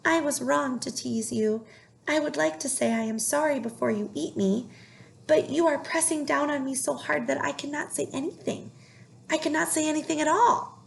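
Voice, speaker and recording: A woman voicing an excited, chirpy little male squirrel with a high, sing-song chant. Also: normal speed, creaky, slight background noise, poor audio. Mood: pleading.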